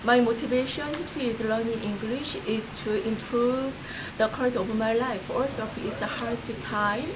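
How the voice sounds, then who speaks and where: A woman speaks English, reading a prepared statement carefully. Her voice is a touch high, and rain-like noise fills the background. The pacing is measured and rehearsed. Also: fairly even intonation. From Korea. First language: Korean. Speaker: English language student. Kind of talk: scripted personal statement. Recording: noisy background.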